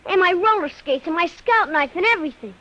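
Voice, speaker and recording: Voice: very high-pitched, lively intonation, a little fast, pushed and bright, full character voice. A performer playing an upset young boy, whining and indignant, in vintage radio drama style. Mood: indignant, sulky. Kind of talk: 1950s radio drama acting. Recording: muffled, band-limited old radio recording.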